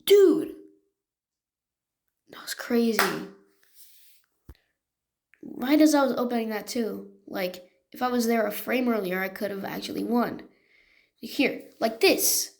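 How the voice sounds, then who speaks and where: A woman's voice: a little high, slow pace, creaky, long pauses, a little mellow. A woman giving casual gameplay commentary and walkthroughs as a video creator, using informal gamer slang. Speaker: video creator and game level maker. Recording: music under the voice. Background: music.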